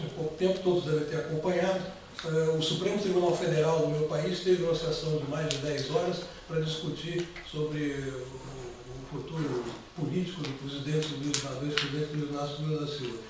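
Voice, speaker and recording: A man's voice: a little high, background noise. A Brazilian man with a Brazilian Portuguese accent giving discursive, formal remarks on a conference panel, full of hesitations and asides. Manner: discursive, many hesitations and asides, recites verse. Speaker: panel speaker. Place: large conference hall. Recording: very echoey hall, voice from far off.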